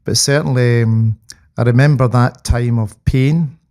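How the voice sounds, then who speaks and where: Scottish guy middle aged, bit gravelly, soft dark tone, thinking back on his life. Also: medium pitch, steady tone, a little slow. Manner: reflective. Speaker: podcast guest. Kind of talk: personal recollection in conversation.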